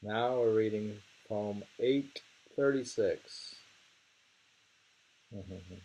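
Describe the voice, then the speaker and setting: A man reading translated poetry aloud in a deliberate way and commenting on it casually, on a dull recording. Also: fairly low pitch, darkish tone. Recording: dull lo-fi home recording with hiss.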